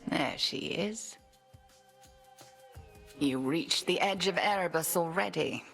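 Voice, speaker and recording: Low, gravelly, stern witch headmistress. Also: fairly bright tone, faint music behind, exaggerated voice. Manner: formal, measured, exacting. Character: stern, imperious witch headmistress mentoring a pupil. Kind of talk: video game voice acting.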